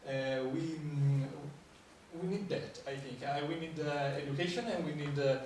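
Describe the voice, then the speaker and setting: A man's voice: a little high, fairly even intonation, moderate pace, a little mellow, slight background noise. A man from Italy, a panel guest speaking hesitantly and searching for words. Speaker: entrepreneur guest. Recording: echoey hall, voice from a distance.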